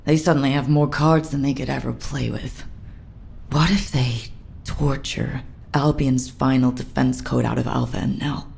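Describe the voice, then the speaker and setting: An actress voicing a bitter, guilt-ridden military captain in a video game, with a low, gravelly voice. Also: female voice, fairly even intonation, moderate pace, a little mellow, full character voice. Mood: bleak. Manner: bitter, self-reproaching. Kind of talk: dramatic video game cutscene acting.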